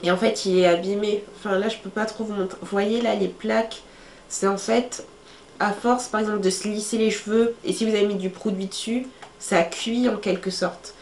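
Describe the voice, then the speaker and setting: A woman's voice: mid-range voice, fairly even intonation, moderate pace, vocal fry, a little mellow. Chatty woman with a cold, echoey room. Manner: chatty, many fillers, shows items to camera. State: congested with a cold. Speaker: beauty vlogger. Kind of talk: casual how-to talk to camera. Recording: echoey room with faint ambient noise.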